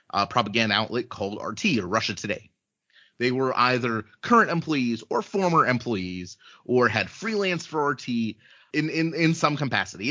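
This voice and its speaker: A man from Ukraine speaks as a podcast guest, blunt and heated, with plenty of swearing and filler words. His voice is bright and forceful at a middling pitch. The remote audio sounds dull and lo-fi. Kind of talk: casual podcast conversation.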